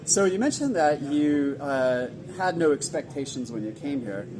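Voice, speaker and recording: A man's voice: animated, normal speed, crowd noise, poor audio. A man who runs the event, interviewing a guest in an upbeat, enthusiastic way. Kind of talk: casual interview. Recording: background noise and rumble.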